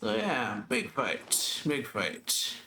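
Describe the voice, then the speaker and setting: A man casually commentating over his own gameplay in a relaxed, laid-back way. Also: mid-range voice, very slow, vocal fry, a little hiss or hum. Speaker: gaming channel host. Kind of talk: let's play commentary. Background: game music.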